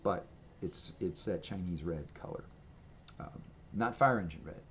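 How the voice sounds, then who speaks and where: A man's voice: deep voice, a little slow, slight background noise. A man talking to his camera at home in a rambling, casual show-and-tell, full of fillers and restarts. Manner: chatty, meandering, many fillers and restarts. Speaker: vlogger showing his merch. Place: home room with gray walls and a red accent wall. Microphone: camera microphone, speaking to camera at a table.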